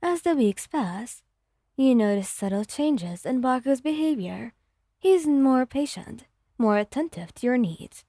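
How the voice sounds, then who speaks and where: A woman's voice: high voice, expressive, a little slow, warm tone, no echo. A woman narrating scripted fan fiction in an ASMR storytelling style, close to the microphone.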